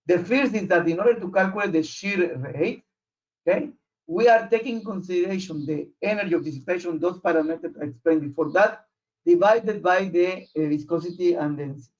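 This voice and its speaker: Halting high-voiced man, non-native English speaker. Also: a little mellow. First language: not English. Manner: halting, searching for words. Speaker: presenter of a technical webinar. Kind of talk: explanatory technical presentation. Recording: muffled, dull online audio.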